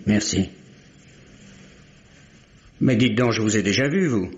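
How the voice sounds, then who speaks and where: Casting: wary tobacco seller, lowish voice, curt and grudging, dull vintage radio sound. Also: male voice, slow pace. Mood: guarded, puzzled. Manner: curt, guarded, colloquial, grudging. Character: gruff, wary, grudging male London tobacconist. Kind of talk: vintage French radio mystery acting. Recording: dull, muffled old radio recording.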